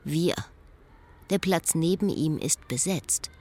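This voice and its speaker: A woman acting as a reflective schoolteacher, telling her own story in measured, literary radio drama monologue. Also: sits low, darkish tone. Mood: pensive.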